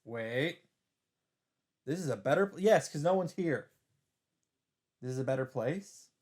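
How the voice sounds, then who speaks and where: A man hosting a let's play, reading visual novel text aloud in an even voice and breaking in with blunt, swearing asides. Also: mid-range voice, a little slow. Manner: reads narration evenly, breaks in with swearing asides.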